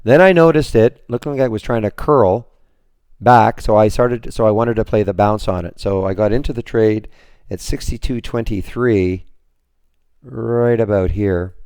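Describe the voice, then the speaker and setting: A man with a lowish, hoarse voice recaps his trades for a trading room, wandering and restarting as he talks. The sound is dry and close, like a desktop computer mic in a home office. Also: normal speed, darkish tone, dry recording. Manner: rambling, many restarts and fillers. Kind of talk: screen-share trading recap.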